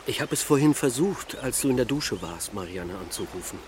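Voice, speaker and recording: An actor playing a restless, haunted middle-aged father in a naturalistic radio drama, speaking haltingly and reflectively in a hushed way. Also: mid-range voice, animated, a little hiss or hum, close to an everyday voice. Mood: guilty.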